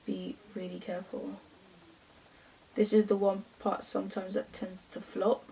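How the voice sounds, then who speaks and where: A woman's voice: mid-range voice, level tone, a little slow, vocal fry, dark tone, distant mic, a little hiss or hum, poor audio. A woman in her twenties from London with a London accent, chatting casually through a makeup tutorial with lots of fillers. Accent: London English. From England. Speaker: beauty vlogger.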